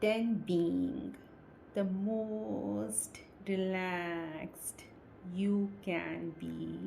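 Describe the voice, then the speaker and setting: A woman leading a guided hypnosis session in a soothing, deliberate way, speaking in short phrases with long pauses. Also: medium pitch, steady tone, gravelly, warm tone, faint music behind. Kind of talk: slow guided meditation, hypnosis induction.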